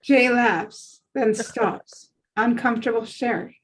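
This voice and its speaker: A woman narrates stage directions for a script read-through. Her voice is on the high side, and she delivers short descriptive sentences as scripted narration. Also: slow pace, breathy edge, rough recording.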